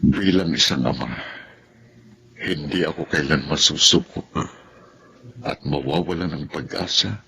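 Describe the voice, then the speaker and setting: A weary, devoted Filipino father in a radio drama. His voice is very low and gravelly, and he speaks in an earnest, reflective way over faint noise. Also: measured pace, pauses often, bright, forceful tone, exaggerated voice. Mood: sorrowful, hopeful. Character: weary, devoted father who keeps hoping for his children.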